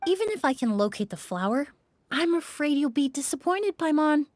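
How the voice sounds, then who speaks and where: An actress playing a refined young woman perfumer in a video game, polite, measured and composed. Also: sits high, sing-song, a little mellow, slight background noise. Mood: composed, earnest.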